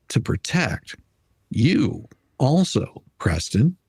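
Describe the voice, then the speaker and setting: A man hosting a live stream, giving emphatic, argumentative commentary with a husky voice and reading passages from a document aloud. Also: mid-range voice, darkish tone, dry recording, clean studio sound.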